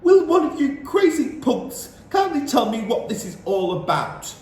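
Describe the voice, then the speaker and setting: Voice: high-pitched, huge pitch swings, measured pace, voice pushed up, exaggerated voice. A storyteller voicing a rude, greedy young boy who makes pushy, whiny demands. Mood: demanding. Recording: roomy distant recording, faint hiss.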